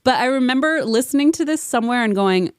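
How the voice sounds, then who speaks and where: A woman's voice: high voice, fast, clean tone, no room sound, studio quality. A woman chatting casually and comically with friends on a podcast, acting out quotes as she tells things. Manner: animated storytelling with acted-out quotes. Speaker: podcast panelist.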